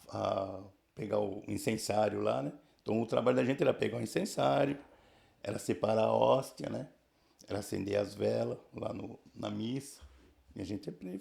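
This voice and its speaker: A man in his sixties from the São region of Brazil, telling his life story casually in a halting way, full of restarts and tag questions. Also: fairly low pitch, creaky, warm tone. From Brazil, São Paulo region. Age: 60s. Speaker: church member giving testimony.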